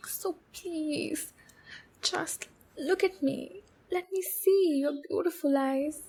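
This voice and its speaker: A woman's voice: very high-pitched, mellow, full character voice, rough recording. A woman voicing a shy, anxious, clingy demon captor in a roleplay ASMR, speaking in a hesitant, pleading and soothing way. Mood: tender, yearning. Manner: hesitant, halting, pleading, soothing with pet names. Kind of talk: roleplay ASMR, intimate acted monologue.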